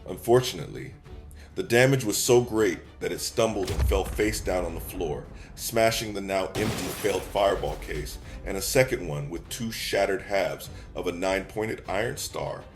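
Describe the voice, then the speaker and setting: A man reading scripted, descriptive fantasy narration for an audio drama. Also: lowish voice.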